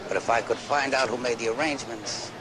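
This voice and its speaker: A man's voice: mid-range voice, fast, a crowd in the background, lightly acted. An actor playing a polite, doggedly curious police lieutenant in an audio drama, hesitant and unassuming in his questioning. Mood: quietly persistent. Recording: loud street and vehicle noise behind.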